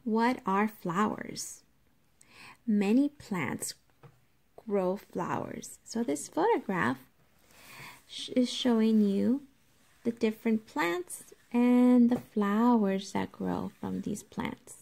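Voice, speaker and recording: Slow-paced woman teacher reading aloud. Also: fairly high pitch, gravelly, a little mellow. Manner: deliberate, instructive, addressing children directly. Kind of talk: children's nonfiction read-aloud with explanations.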